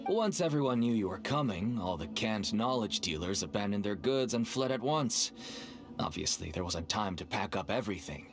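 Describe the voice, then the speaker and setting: A man voicing a nervous, wheedling middle-aged father and dealer in a game, with a persuasive manner. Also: mid-range voice. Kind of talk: game voice acting. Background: game music.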